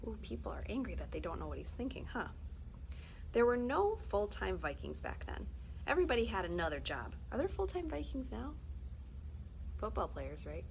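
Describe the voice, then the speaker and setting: A woman's voice: mid-range voice, creaky, a little hiss or hum, low-quality recording. A woman reading a children's story aloud, breaking off for chatty, informal asides to her young listeners.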